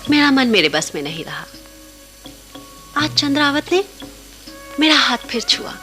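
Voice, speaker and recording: An actress playing a young, devout monastery woman tormented by forbidden love in a radio play, with dramatic, intimate delivery. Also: on the high side. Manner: dramatic, breathy introspective asides and coy dialogue. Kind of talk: radio play acting with confessional monologues. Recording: radio play with background music.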